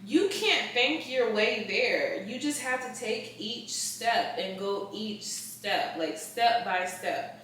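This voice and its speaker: Echoey, bright-voiced woman in her late twenties. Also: a little high, expressive, slow. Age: late 20s. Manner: blunt, emphatic, informal slangy talk. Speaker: podcast host. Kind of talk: casual advice chat. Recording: roomy echo, distant mic, faint noise.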